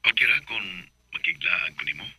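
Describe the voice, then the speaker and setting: A man's voice: low voice, animated, normal speed, sharp tone, soft background music, full character voice. A man playing a pushy male bar customer who offers to pay a hostess for her time.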